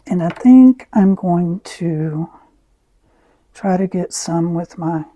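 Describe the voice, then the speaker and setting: A woman with a raspy voice, who often clears her throat as she chats through an art tutorial. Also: on the low side, no room sound, dull audio. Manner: slow, soft-spoken, frequent throat clearing. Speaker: art channel host. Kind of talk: casual art tutorial chat.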